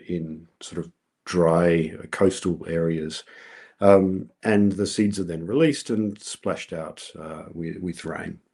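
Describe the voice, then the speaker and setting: A man's voice: low-pitched, mellow, breathy edge. A middle-aged man with an Australian accent, giving a scientific presentation over a video call, explaining steadily with many ums and uhs. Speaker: researcher giving a colloquium talk.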